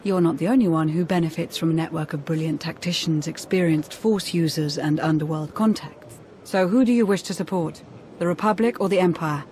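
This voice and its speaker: An actress playing a serious, loyal military adviser in a video game, formal and matter-of-fact. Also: female voice, deep voice, a little fast, mellow, a little hiss or hum, lightly acted. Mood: grave, composed. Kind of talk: video game cutscene acting.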